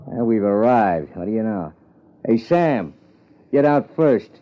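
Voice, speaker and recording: An actor playing a tough, blunt gangster in a 1950s American radio crime drama, talking in slangy threats with an American accent. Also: male voice, on the low side, expressive, unhurried. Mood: threatening. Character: tough, blunt male gangster just out of prison. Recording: muffled, noisy old radio broadcast.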